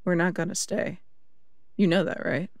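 A man acts out a defiant city cop in an audio roleplay. His voice is high and gravelly with fry, recorded very dry. He talks bluntly and stubbornly, with a sharp tongue. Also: level tone, normal speed, no room sound. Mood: firm, guarded. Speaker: voice actor.

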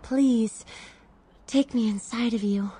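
Slow-spoken, needy young woman. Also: a little high, steady tone, warm tone, slight background noise. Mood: pleading, devoted. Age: young adult. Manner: hesitant, pleading. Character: needy, devoted young female college student, naive. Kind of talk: video game voice acting.

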